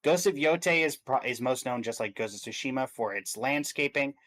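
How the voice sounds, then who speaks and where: A man's voice: medium pitch, expressive, fairly quick, fairly bright tone. A man co-hosting a stream, chatting casually about video games and thinking aloud as he goes. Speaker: stream co-host.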